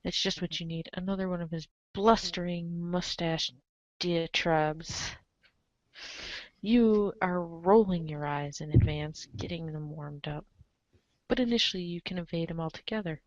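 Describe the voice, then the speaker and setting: A woman with a lowish voice reads a comic script aloud in a theatrical, emphatic way. Her delivery is playfully exasperated. The recording is very dry and slightly dull. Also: unhurried, no echo. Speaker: reader in a reader's theater show. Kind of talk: animated dramatic reading of a webcomic, in second person.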